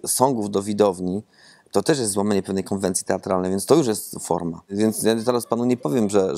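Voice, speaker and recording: Gravelly-voiced man musing with many pauses. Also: fairly low pitch, a little mellow. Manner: rambling, thoughtful, many hesitations and repeated words. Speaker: theatre director. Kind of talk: interview answers. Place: empty theatre auditorium, seated among red seats.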